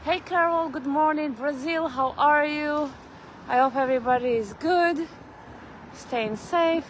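A woman's voice: sits high, unhurried, low-quality recording. A woman chatting casually to her viewers while walking outdoors, rambling and greeting people by name. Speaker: vlogger. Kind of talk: casual live-stream walking chat. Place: outdoors on a city street. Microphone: phone camera held while walking. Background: traffic and street ambience.

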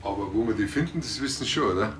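Actor as a lowish-voiced Bavarian cop. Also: male voice, lively intonation, racing, natural voice. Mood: chatty, probing. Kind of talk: television crime comedy acting.

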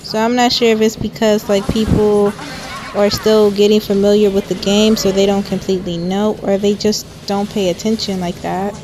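A young woman narrates her gameplay in a quiet, soft-spoken voice, chatty and peppered with "like." Her mic sits right by her mouth. A clear hiss of background noise runs underneath. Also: medium pitch, level tone, normal speed. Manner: chatty, frequent "like" fillers. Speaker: gameplay commentator. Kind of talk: casual voice-over commentary. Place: lying down at home. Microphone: microphone held close to mouth.

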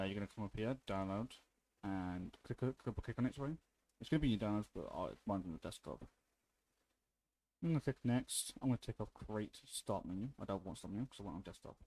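A man giving a casual, unscripted software tutorial in an informal, offhand way as the presenter. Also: lowish voice, low-quality recording.

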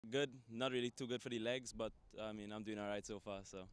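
A man's voice: lowish voice, fairly even intonation, fairly quick, smooth. A young man, speaking casually and bluntly into a handheld mic on an outdoor soccer field. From Trinidad and Tobago. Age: young adult. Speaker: college soccer recruit. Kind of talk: casual on-camera interview.

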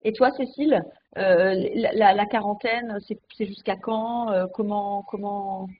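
A woman's voice: mid-range voice, steady tone, a little slow. A woman hosting a remote news discussion, asking questions with hesitant fillers, on an earphone mic from a room at home. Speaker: news show host. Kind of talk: moderating remote interview. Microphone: earphone mic on video call. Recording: dull video call audio, faint noise.

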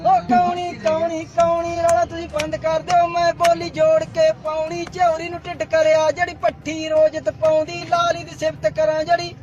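A man with a Punjabi accent chanting bawdy folk verses in a drawn-out delivery, repeating his opening words. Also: very high-pitched, completely flat delivery, muffled recording, over loud music. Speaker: folk boliyan singer.

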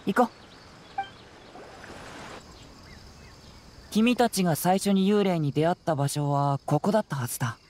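A man's voice: sits high, expressive, fast, a little mellow. An actor playing a clever, confident young male detective in an anime game, speaking in a composed, casual, analytical way. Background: music.

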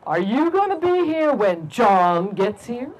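A woman voicing a huge, uncanny talking cat in a told story, asking slowly and deliberately with menace. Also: fairly high pitch, big pitch swings, rough recording. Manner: slow, deliberate questioning.

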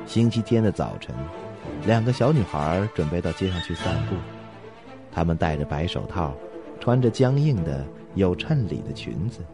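A man narrating a suspense radio drama in a scripted, dramatic storytelling style. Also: sits low.

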